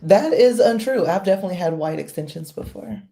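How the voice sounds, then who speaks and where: A woman guest on a podcast interview, talking in a measured, thoughtful way with many fillers. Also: lowish voice, animated, warm tone. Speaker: guest, political volunteer. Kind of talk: casual interview.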